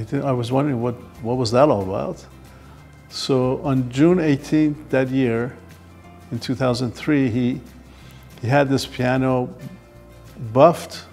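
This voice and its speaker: An older man reminiscing in a documentary interview, halting and repeating words, on a lapel mic indoors. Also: medium pitch, creaky, darkish tone. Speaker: physician giving an interview. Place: furnished living room indoors. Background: music.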